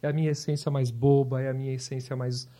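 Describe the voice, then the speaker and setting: A man's voice: mid-range voice, flat delivery, fast talker, dark tone. A man in his fifties from southern Brazil, a volunteer hospital clown, telling his story in an interview with a reflective, storytelling manner. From Porto Alegre, Brazil. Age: 50s. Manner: reflective, storytelling, with repeated restarts. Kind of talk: interview conversation.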